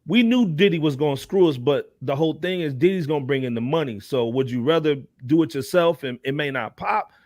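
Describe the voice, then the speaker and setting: A man's voice: fairly high pitch, animated, fairly quick, few pauses, darkish tone, no room sound, studio quality. An American man hosting a casual hip hop panel discussion, talking emphatically in slang and repeating phrases. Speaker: talk show panel host.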